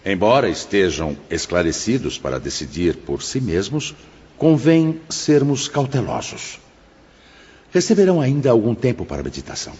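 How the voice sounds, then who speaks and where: Casting: male actor as venerable spirit teacher, low voice with sweeping intonation, formal, exhorting, audiobook drama. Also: very animated, darkish tone, breathy edge. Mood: solemn, instructive. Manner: formal, measured, preaching. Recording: dull, reverberant hall sound with music behind.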